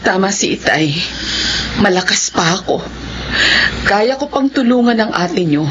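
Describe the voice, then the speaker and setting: A woman's voice: medium pitch, lively intonation, a little slow, lightly acted. An actress playing a loving, determined middle-aged mother in a Filipino radio drama, earnest and emotional, with a Filipino accent. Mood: resolute, tender. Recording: dull, noisy radio drama audio.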